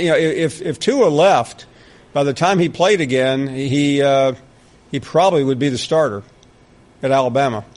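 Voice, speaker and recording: A middle-aged man with a Southern US accent, hosting a sports talk radio show and bantering with callers. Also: mid-range voice. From American South. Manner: quick, animated, jokey banter with callers. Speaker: radio host.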